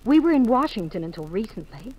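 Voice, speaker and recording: A woman's voice: medium pitch, sing-song, fast talker, dark tone, breathy edge, poor audio. An actress playing an anxious, hesitant married woman with an imploring manner, in 1950s radio drama style. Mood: flustered.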